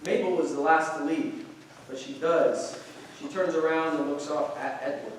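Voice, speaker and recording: A man's voice: fairly high pitch, steady tone, normal speed. A man reading stage directions aloud as scripted narration for a staged play reading. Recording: distant, very echoey room, faint noise.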